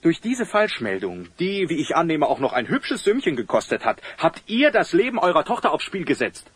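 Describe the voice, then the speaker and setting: A man playing an earnest young athlete with a husky voice and formal manner in a children's audio drama. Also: a little high, very animated, moderate pace, a bit bright, heavily acted. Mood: urgent. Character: earnest young male athlete, secret suitor.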